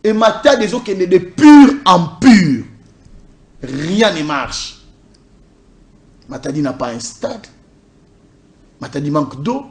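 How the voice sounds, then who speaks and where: A man's voice: high-pitched, sing-song, slow pace, pauses often. A man from DR Congo's Congo Central, a party communication officer, speaking emphatically in a political interview and driving points home with repeated lists. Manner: emphatic, repetitive listing. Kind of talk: political interview, code-switching.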